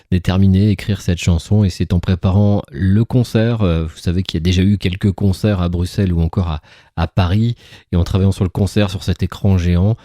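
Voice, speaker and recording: A man presenting on radio, introducing interview clips and addressing listeners directly in a somewhat halting way. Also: sits low, dark tone, no echo, studio quality. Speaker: radio host.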